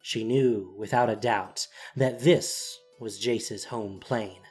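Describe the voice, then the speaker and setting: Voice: medium pitch, takes it slowly, a little mellow, breathy edge, soft background music. A narrator reading a scripted, dramatic story for an audio drama.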